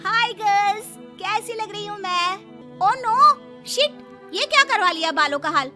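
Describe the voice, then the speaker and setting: A woman voicing bratty, excitable young college girls in an animated story, with exaggerated theatrical delivery. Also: very high voice, unhurried, over loud music. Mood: excited. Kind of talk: Hindi animated story character acting.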